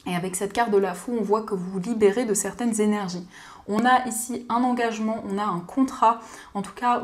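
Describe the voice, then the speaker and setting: A woman giving a gentle, soothing daily card reading, slightly hoarse from a cold. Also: on the high side. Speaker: card reader.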